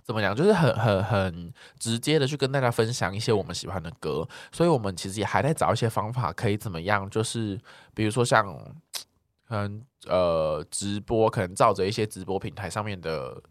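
A talkative, expressive man co-hosting a podcast, chatting casually as he replies to listener comments. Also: fairly low pitch, brisk, no room sound, studio quality. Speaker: podcast co-host.

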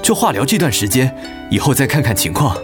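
A man's voice: mid-range voice, sing-song, fairly quick, over music, natural voice. A man playing a busy, practical, concerned husband and father in a radio drama, with a Taiwanese Mandarin accent. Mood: practical, pressing. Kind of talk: dramatic radio play acting.

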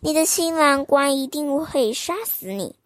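A woman voicing a pitying old woman, soft and tearful as she warns a girl of danger. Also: high-pitched, lively intonation, measured pace, no echo, clean studio sound.

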